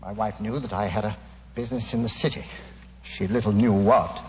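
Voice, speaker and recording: An actor playing an ashamed, well-spoken gentleman making a hesitant, emotional confession in a 1940s radio drama. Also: fairly low pitch, steady tone, fairly quick, slight background noise, close to an everyday voice. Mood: ashamed, confessing. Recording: muffled old radio recording with long reverb.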